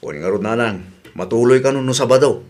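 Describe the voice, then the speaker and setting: A man's voice: mid-range voice, fairly quick, darkish tone, lightly acted. A man with an Ilocano Filipino accent playing a helpful, dutiful grown son in a radio drama. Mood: earnest.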